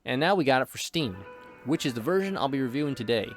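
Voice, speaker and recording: A man delivers a scripted comedic review as a voice-over. His delivery is playful and exaggerated, at a medium pitch, with music clearly playing behind him. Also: animated, fast talker. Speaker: video game reviewer. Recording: background music under the voice.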